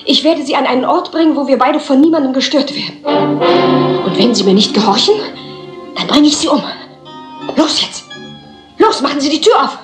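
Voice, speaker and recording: A woman's voice: high voice, animated, moderate pace, long pauses. An actress playing a desperate, vengeful young woman in a crime drama, intense and accusing. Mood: threatening. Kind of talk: German-dubbed crime film acting. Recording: echoey, music behind voice.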